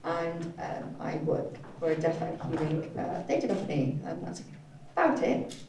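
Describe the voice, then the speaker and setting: A woman's voice: deep voice, moderate pace, creaky, dark tone. A woman working as a live sign language interpreter, voicing speakers in a panel discussion. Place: echoey event room, indoors. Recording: very echoey hall, distant mic, faint noise.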